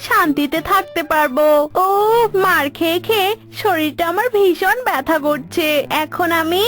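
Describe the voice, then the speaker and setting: A woman's voice: very high voice, moderate pace, fairly bright tone, faint music behind. A woman voicing a selfish, irritable, grumbling mynah bird in a cartoon. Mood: weary. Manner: grumbling, argumentative.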